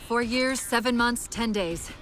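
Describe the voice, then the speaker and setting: An actress playing a caring, quick-witted female AI companion in a video game cutscene, expressive and precise. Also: fairly high pitch, lightly acted. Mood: gentle, wry. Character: female AI companion, bright, caring, urgent, dryly witty. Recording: loud game music and effects behind.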